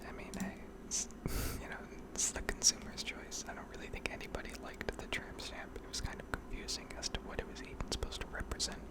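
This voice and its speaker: Whispering woman in a gaming ASMR ramble. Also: rough recording. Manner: casual, rambling, hesitant pauses. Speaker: video game streamer narrating gameplay.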